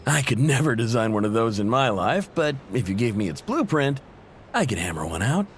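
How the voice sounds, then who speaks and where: Casting: easygoing game machinist, played by a man, mid-pitched, lively and expressive, modest and chatty, music underneath. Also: brisk, close to an everyday voice. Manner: affable, conversational, self-deprecating. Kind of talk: video game character acting. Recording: music behind the voice.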